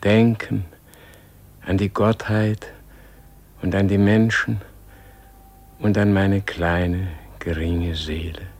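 A man playing a dying, serene Gandhi in a solemn radio play, speaking in a gentle, contemplative, weary manner. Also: low voice, level tone, takes it slowly, pauses often, exaggerated voice.

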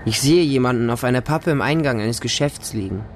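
A man's voice: medium pitch, brisk, soft background music, close to an everyday voice. A man playing a lonely, naive small homeless boy who narrates his life in a radio drama in short, plain sentences. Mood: lonely, wary.

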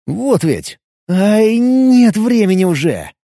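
A man's voice: sits high, big pitch swings, slow pace, warm tone, no echo, studio quality, pitched above the performer's own voice, heavily acted. A man playing a bold, brash fighter and leader with a commanding, urgent delivery in an audiobook. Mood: urgent, brisk.

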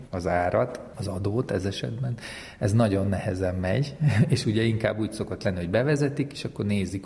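A man speaks as an academic expert in an interview, with a low, gravelly voice. His tone is mellow and reflective, and the sound carries a long hall reverb. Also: a little hiss or hum. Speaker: university economics lecturer, interviewed expert. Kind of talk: explanatory interview talk.

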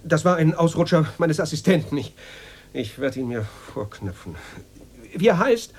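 A man's voice: on the high side, big pitch swings, moderate pace, a little mellow. An actor in a radio drama playing a nervous, servile Moscow radio director, flustered and stammering as he repeats himself. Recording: noisy radio play recording with hum.

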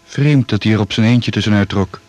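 An actor playing a matter-of-fact secret agent in a Dutch radio play, terse and businesslike. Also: male voice, on the low side, brisk, darkish tone, lightly acted. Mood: serious, factual.

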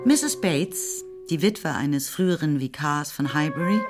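A woman narrating a period novel for a radio play in a measured, wry, literary manner. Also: deep voice, expressive, over music. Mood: composed, wry.

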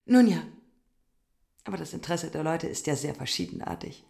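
An actress in a radio drama playing a proud, aloof youngest sister, speaking with cool irony. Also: female voice, sits low, very animated, normal speed, vocal fry, warm tone, heavily acted. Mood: mocking. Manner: ironic yet serious, cool.

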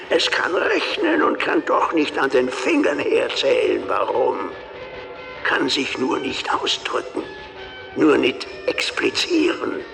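A grandiose fairground showman in a radio play. The male voice is gravelly and swoops wildly as he slowly declaims, presenting his beast over faint music. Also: mid-range voice, bright, forceful tone, heavily acted. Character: grandiose fairground barker showing off a trained beast. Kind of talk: radio drama acting.

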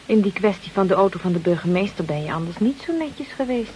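An actress playing a level-headed, gently teasing young woman in an old radio drama. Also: medium pitch, expressive, fast talker, natural voice. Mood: teasing, tender. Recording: noisy old radio play recording.

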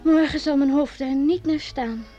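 A woman's voice: high-pitched, moderate pace, mellow, muffled recording, soft background music, lightly acted. A young woman playing a sulky, lovesick innkeeper's daughter in a radio play, wistful and guarded. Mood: hurt, hopeful. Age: young adult.

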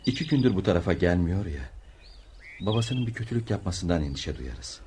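A respectful, concerned disciple in a radio play. His very low voice is quiet and deferential yet varied in pitch, with slight noise on the recording. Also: very animated, a little fast. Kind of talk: radio theatre acting. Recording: noisy radio play with background sounds.

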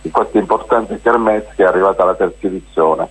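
A man speaks in a somewhat low, husky voice, heard on a radio broadcast. He rambles along as a guest, full of hesitations, fillers and restarts, and keeps circling back to his points. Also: fast talker, low-quality recording. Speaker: festival organizer, interview guest.

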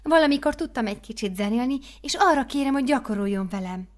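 A woman reading aloud and voicing a doting, excitable older mother superior who gushes over a new girl. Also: a little high, voice pushed up, close to an everyday voice. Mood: gushing. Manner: gushing, effusive, commanding.

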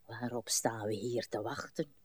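An actress playing a weary, sharp-tongued, self-pitying old woman in a radio drama, with a querulous, dramatic delivery. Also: a bass voice, a little slow, slightly rough, dry recording, full character voice. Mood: weary, bitter. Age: older.

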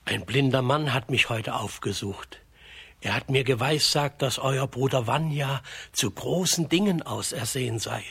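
A gentle old peasant father for a children's radio play. He speaks slowly and earnestly, with a very raspy, breathy voice and a halting, careworn manner. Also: medium pitch, exaggerated voice. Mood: earnest, pleading.

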